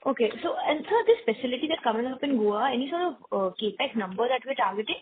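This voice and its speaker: A woman with an Indian accent and a husky voice asks questions as an analyst on an earnings call. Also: a little high, animated, fast talker, slight background noise. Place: conference call. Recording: tinny phone-like conference line.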